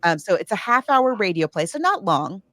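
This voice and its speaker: A woman's voice: mid-range voice, big pitch swings, normal speed, a bit bright, dry recording. A woman co-hosting a podcast, chatting casually about books and films in a digressive, thinking-aloud way. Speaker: podcast co-host. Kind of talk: casual book and film discussion.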